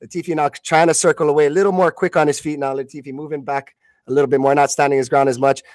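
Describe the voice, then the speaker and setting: A man's voice: fairly high pitch, little pitch movement, fast talker, a little mellow, no echo, clean studio sound. A man giving live radio play-by-play of a fight as commentator, often stumbling and correcting himself mid-phrase.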